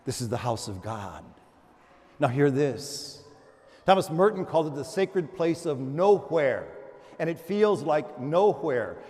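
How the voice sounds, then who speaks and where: A man giving a homily as a priest, animated, moving between loud exclamations and hushed murmurs, often repeating words and restarting in a conversational way. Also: fairly high pitch, a little slow. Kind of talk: sermon. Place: large church. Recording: long church reverb.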